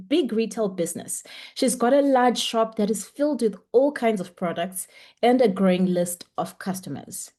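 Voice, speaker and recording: A woman's voice: medium pitch, darkish tone. A young woman teaching a webinar over a video call, speaking in a chatty, encouraging way with frequent ums. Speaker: webinar instructor. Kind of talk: online lecture. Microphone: computer mic on a video call.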